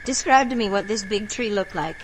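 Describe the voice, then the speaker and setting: A woman's voice: mid-range voice, brisk, a little hiss or hum, natural voice, poor audio. An actress playing a kindly, knowing folk healer woman, motherly and commanding, in an audio horror drama. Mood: concerned.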